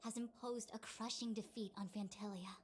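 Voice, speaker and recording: A woman playing a composed, dutiful master diviner in a video game, speaking in a formal, measured and precise way. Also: medium pitch, steady tone, slight background noise, close to an everyday voice. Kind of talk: scripted video game dialogue.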